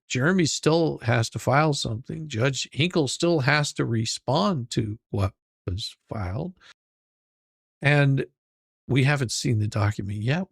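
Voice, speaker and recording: A man hosts his channel and comments casually on a clip. His voice has a gravelly fry, and he rambles, often repeating a word before he finds the next one. The sound is close and dry. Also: medium pitch, no echo. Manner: rambling, repeats words while thinking. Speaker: channel host reacting to a stream.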